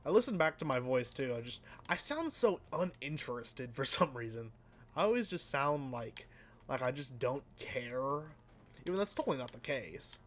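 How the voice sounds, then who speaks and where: A man casually commenting while he plays a racing game, rambling and self-deprecating, with a flat, slightly nasal voice that trails off into mumbles. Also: mid-range voice, normal speed. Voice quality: sounds flat and a bit nasal, by his own account. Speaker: let's play host.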